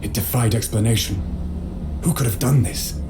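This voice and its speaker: A man's voice: mid-range voice, normal speed, very noisy, close to an everyday voice. A man playing an earnest, solemn medieval knight leader in a scripted video game. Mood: grave. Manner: grave, solemn, dramatic. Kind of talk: scripted game narration and cutscene acting. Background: dramatic music.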